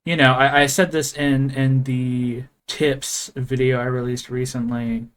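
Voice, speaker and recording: A man giving casual reaction commentary in a halting way, often repeating himself and mildly swearing. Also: medium pitch, little pitch movement, fairly bright tone, clear, resonant tone. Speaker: reactor, video creator.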